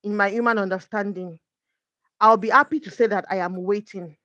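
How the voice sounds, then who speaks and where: A woman's voice: medium pitch, steady tone, a little fast, sharp tone, dry recording. Earnest woman coach with a dull, dry sound. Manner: earnest, explains with examples. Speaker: guest coach. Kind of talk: conversational faith talk.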